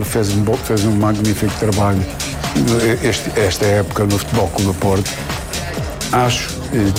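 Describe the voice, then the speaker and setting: A man with a European Portuguese accent weighing in on a football panel, deliberate and colloquial. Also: fairly low pitch. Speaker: football commentator. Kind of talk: panel discussion.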